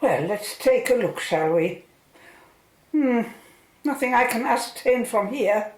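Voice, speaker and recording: An upbeat scientist at work in a radio drama. Her voice is high and swings with excitement, set in a roomy echo. She sounds keen and curious about the job in hand. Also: measured pace. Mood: amazed, curious. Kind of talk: radio play acting.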